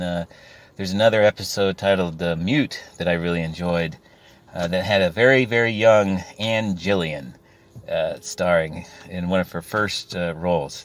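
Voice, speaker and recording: A middle-aged man from Texas chatting casually in a hesitant, rambling way as he shares personal thoughts as a listener. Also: sits low. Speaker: podcast listener sending in comments. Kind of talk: casual chat, personal reminiscence.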